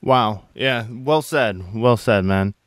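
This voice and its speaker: A man hosting a podcast interview, upbeat and friendly, giving quick affirmations. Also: lowish voice, no echo, clean studio sound. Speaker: podcast host. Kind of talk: casual interview chat.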